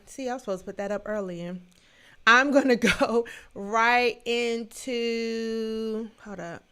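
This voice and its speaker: A woman in her thirties hosting a solo livestream, talking in a sassy, emphatic, playfully scolding way with a booming voice. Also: a bit bright. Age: 30s. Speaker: livestream show host.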